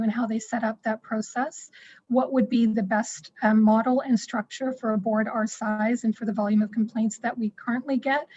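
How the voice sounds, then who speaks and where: A woman with a rather high but flat voice explains policy in a measured, careful way, often pausing on "um". Her tone is mellow and a little husky. The sound is dull, lo-fi video-call audio. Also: dry recording. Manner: measured, explanatory, with frequent "um" fillers. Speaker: school board staff presenting a human rights policy. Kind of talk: explaining policy in an online meeting.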